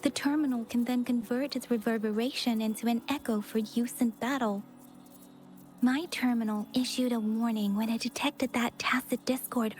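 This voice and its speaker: A woman voicing a gentle, earnest young female guide in an anime-style game, courteous and measured in explaining. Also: on the high side, close to an everyday voice. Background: game music.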